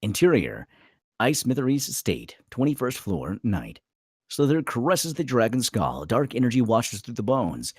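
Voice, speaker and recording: A man's voice: medium pitch, wildly swooping pitch, moderate pace, a little mellow, husky, no echo, studio quality. A man reading screenplay stage directions aloud as a dramatic narrator at a table read.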